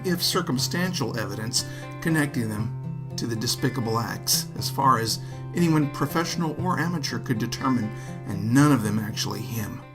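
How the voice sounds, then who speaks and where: A man narrating a scripted first-person story in a wry, wordy, theatrical manner. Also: mid-range voice. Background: plucked guitar music.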